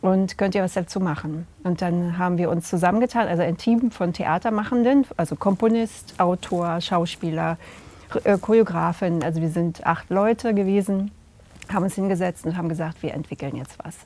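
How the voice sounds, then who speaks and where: A middle-aged woman, a theatre director and drama teacher, answering interview questions in an animated way, often pausing to search for words. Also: on the low side. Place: indoor room with curtains.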